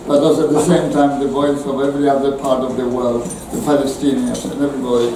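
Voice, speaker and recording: A man with a Cypriot accent hosting a casual conversation, earnest and full of halting restarts. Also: medium pitch, little pitch movement, background noise. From Cyprus. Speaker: host of a theatre venue. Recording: echoey room with crowd chatter.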